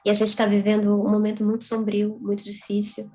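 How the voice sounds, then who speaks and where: A young woman writer from Brazil speaking over a video call. Her voice stays flat and level, with little rise or fall, whether she reads her prose or answers questions. The pitch sits in a middle range. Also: fairly quick, poor audio. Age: young adult. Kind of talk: reading own prose aloud, then conversation.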